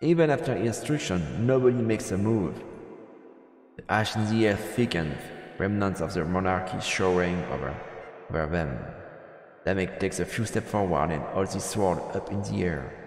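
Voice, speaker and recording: A man's voice: deep voice, lively intonation, normal speed, a little mellow, reverberant, long reverb tail. A man streaming a visual novel, reading the narration aloud as dramatic storytelling. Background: game music.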